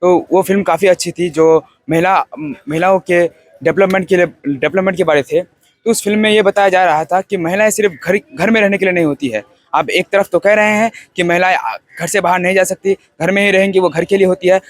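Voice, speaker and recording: A young man speaks in a notably high voice, recorded dry and close to echo-free. He gives an informal spoken review, earnest but halting, circling back over his phrases as he builds each point. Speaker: film festival participant.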